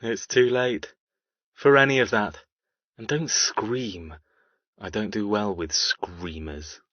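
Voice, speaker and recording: A man playing a cold, controlling villain in an audiobook, speaking formally and with quiet, controlled threat. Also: on the low side, steady tone, takes it slowly, no echo, highs cut off. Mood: cold menace. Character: cold, menacing, controlling male villain and kidnapper. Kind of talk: audiobook character dialogue.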